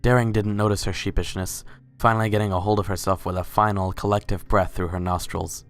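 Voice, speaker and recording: A man's voice: lowish voice, little pitch movement, a little fast, soft background music. A man reading scripted story narration as the narrator of a dramatic reading.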